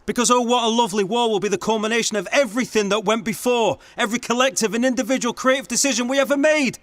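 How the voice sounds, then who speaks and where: A man's voice: very high-pitched, fast, fairly bright tone, dry recording. An actor playing an impassioned, accusing male theatre maker and folk musician in a radio play, indignant and idealistic. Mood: indignant, passionate.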